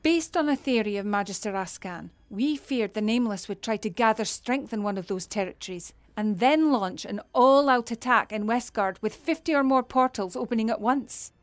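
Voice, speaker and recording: A woman's voice: on the high side, moderate pace, few pauses, no echo, natural voice. A woman playing an earnest, dutiful warrior in a fantasy video game. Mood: grave briefing.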